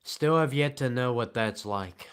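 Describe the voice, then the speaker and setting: A man streaming live and answering the chat in a flat, deadpan, sarcastic way, with long pauses between remarks. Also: medium pitch, clean tone.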